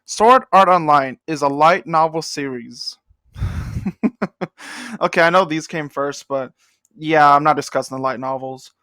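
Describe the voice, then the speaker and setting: A man's voice: a little high, a little slow, long pauses, sharp tone, no echo, clean studio sound. A young man hosting a casual comedic anime commentary, rambling with self-deprecating jokes muttered under his breath. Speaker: host.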